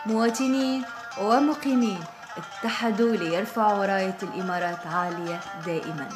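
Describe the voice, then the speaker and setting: A woman hosting a show, cheerful and quick, with music behind her. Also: mid-range voice. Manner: cheerful, rapid delivery. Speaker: show host. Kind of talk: upbeat show hosting in Arabic.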